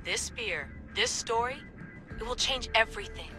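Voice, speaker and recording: A woman's voice: fairly high pitch, expressive, normal speed, music in the background, natural voice. A woman playing a self-assured modern artifact hunter and team boss, earnest and firm, in a naturalistic game cutscene. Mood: resolute.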